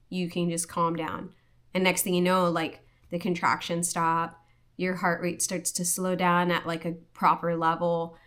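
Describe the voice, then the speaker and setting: Bright-voiced young woman from Hawaii. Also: lowish voice. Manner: earnest, lots of "like" and restarts. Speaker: vlogger. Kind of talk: casual personal talk to camera.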